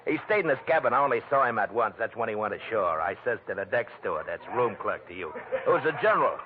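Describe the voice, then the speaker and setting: An actor playing a gabby dive-bar bartender with folksy nautical slang, in 1940s radio drama style. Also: male voice, lowish voice, lively intonation, fast, close to an everyday voice. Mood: gossipy. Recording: tinny, noisy old radio broadcast.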